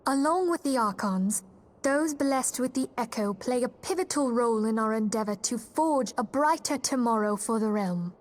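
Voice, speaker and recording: An actress plays a fantasy game's leader of a secret order, a woman with a fairly high voice. She speaks formally and courteously, in measured phrases, with composed authority. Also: expressive, lightly acted. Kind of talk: video game cutscene acting.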